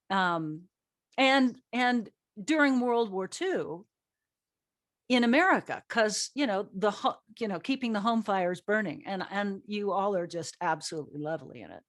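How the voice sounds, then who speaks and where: A middle-aged or older woman who writes plays, hosting a casual group chat and telling stories in an animated, self-joking way with acted-out dialogue. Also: medium pitch. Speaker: playwright and event host.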